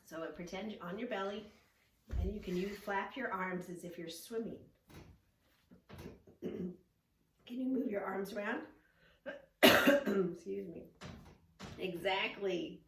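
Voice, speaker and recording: A woman leads a children's read-along mixed with simple yoga instructions. She is gently encouraging and full of praise, with a mid-pitched voice. The recording is echoey, picked up some way from the microphone. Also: slow, long pauses, a little hiss or hum. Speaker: storyteller and children's yoga teacher. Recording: roomy, echoing, distant microphone.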